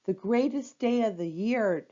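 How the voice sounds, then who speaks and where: A woman's voice: fairly high pitch, a little slow, warm tone, highs cut off. A woman voicing a cheery, welcoming woman innkeeper full of holiday cheer in a children's storybook. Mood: cheerful.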